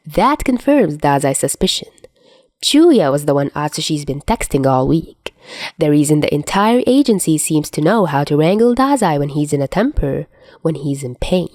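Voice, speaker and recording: A woman reading a story aloud as an audiobook narrator, in an expressive scripted style. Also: low-pitched, no echo, studio quality. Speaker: fan fiction audiobook narrator.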